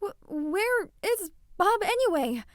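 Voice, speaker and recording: Woman acting very high-voiced, nervous young princess. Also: big pitch swings, no echo, clean studio sound, full character voice. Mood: nervous, awkward. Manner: halting, awkward, stammering. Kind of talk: audio drama acting.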